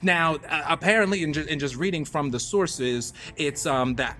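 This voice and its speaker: A man's voice: fairly high pitch, normal speed, bright, forceful tone. A man hosting a radio show, giving casual commentary on hot news topics in a theatrical, emphatic way and repeating phrases for effect. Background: music under the talk.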